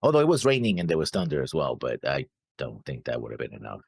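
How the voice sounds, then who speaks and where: A man co-hosting an anime reaction show, reading his notes in a hesitant, halting way and trailing off at the ends of phrases. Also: a bass voice, wildly swooping pitch, gravelly, a little mellow, slightly rough, dry recording, clean studio sound. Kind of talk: casual discussion of an anime episode.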